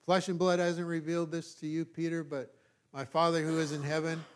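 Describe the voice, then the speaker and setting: A man with a Californian American accent giving an informal, conversational sermon as a pastor, folksy and self-deprecating, often halting and checking in with his listeners. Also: on the high side, flat delivery, a little hiss or hum. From California, United States.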